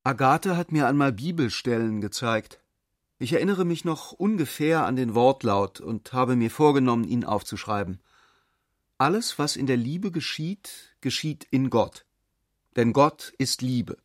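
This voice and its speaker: Slow, contemplative male diarist played by an actor. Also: mid-range voice, lively intonation, dry recording, clean studio sound, close to an everyday voice. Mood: musing. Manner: measured, reflective. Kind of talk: reflective literary monologue in a radio drama.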